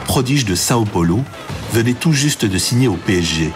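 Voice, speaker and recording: A man narrating a documentary in a measured, scripted voice-over. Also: on the low side, expressive, over loud music. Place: voice-over studio.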